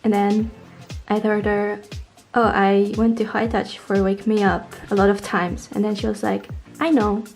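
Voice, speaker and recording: Young woman from poland vlogging, english, chatty and informal, relaxed mellow voice, bit of music behind. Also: medium pitch, a little slow, vocal fry. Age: young adult. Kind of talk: casual storytelling to camera.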